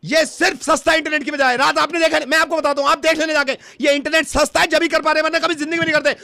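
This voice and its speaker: A man's voice: very high-pitched, little pitch movement, racing, gravelly, sharp tone, no echo, heavily acted. A man playing an exasperated older customer on a comic prank call, complaining insistently and repeating himself. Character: irate older customer complaining about cheap internet. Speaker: radio prank caller.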